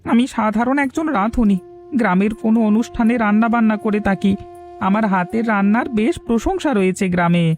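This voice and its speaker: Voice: medium pitch, brisk, darkish tone, soft background music, lightly acted. An actor voicing a humble, helpless old male village cook in a cartoon, earnest and pleading. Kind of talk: Bengali cartoon voice acting.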